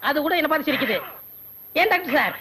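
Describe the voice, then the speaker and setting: A man's voice: extremely high pitch, expressive, fast, bright, forceful tone, slight background noise, full character voice. An actor playing a cheeky, wisecracking man who works as a doctor's compounder and teases his boss. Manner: teasing, cheeky.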